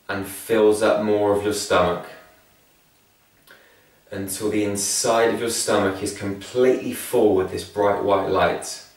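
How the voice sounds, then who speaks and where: Heard in a heavily reverberant space, a little away from the mic, a man guides a meditation. His voice sits fairly low and moves very slowly. He is calm and soothing, leaving long, measured pauses between phrases. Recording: very echoey room, distant from mic.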